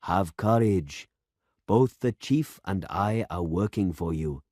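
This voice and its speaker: A man reading aloud and voicing an elderly male village pastor who speaks slowly and solemnly. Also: sits low, animated, a little mellow, dry recording, clean studio sound, exaggerated voice. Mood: earnest. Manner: slow and solemn.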